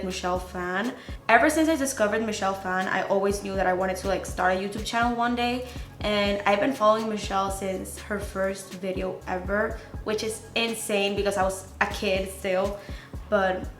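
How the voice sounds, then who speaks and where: A twenty-year-old woman with a Latin American Spanish accent, a native Spanish speaker, chatting excitedly and slangily to camera in a casual beauty vlog. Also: on the high side. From Venezuela, grew up in the Dominican Republic. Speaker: beauty YouTuber. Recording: roomy echo.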